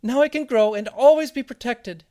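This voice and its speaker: A tiny storybook seed, pitched very high and squeaky. It is naive and excitable, with a plaintive, whimpering edge. The sound is close and dry. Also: male voice, moderate pace, no room sound. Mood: exultant. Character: small anxious seed, childlike, naive and plaintive.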